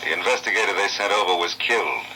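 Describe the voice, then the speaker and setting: An American actor playing a terse, world-weary insurance investigator in a 1950s radio drama, laconic and unruffled. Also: male voice, low-pitched, fast, sharp tone, a little hiss or hum, heavily acted, rough recording. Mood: businesslike. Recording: old 1950s radio recording, music behind.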